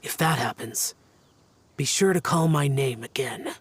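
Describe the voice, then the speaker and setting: A man's voice: mid-range voice, measured pace, slight background noise, close to an everyday voice. Man as a solemn, aloof immortal guardian. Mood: solemn exposition. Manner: grave, terse, formal. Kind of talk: anime-style video game voice acting.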